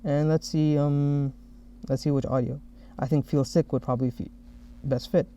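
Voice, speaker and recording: A man narrating a software demo as the developer who made it, explaining each step. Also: fairly high pitch, little pitch movement, moderate pace, slight background noise. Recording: dull, muffled lo-fi audio.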